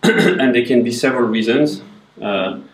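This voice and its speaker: A middle-aged man giving a relaxed classroom lecture, hesitant with many fillers, recorded by a camera at a distance. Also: medium pitch, normal speed, roomy sound, slight background noise. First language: not Norwegian. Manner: hesitant, many "uh" fillers, gestures while talking. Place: classroom with projector screen. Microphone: far camera.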